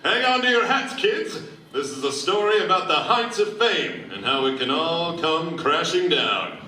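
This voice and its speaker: A man's voice: on the high side, animated, a little slow, pushed and bright, a little hiss or hum. A man playing a brash, bumbling reporter with old-fashioned slang in a comic 1940s-style radio play, recorded from afar in a roomy hall. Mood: excited explaining. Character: cocky, bumbling, slangy reporter who is secretly a masked hero. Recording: roomy convention hall, distant camera.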